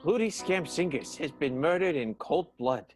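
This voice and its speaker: A man's voice: a little high, expressive, moderate pace, music in the background, lightly acted. A man playing a stammering theatre owner. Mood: grave. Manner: stammers and repeats words. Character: flustered stammering male theatre owner, grieving. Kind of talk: improvised radio play acting.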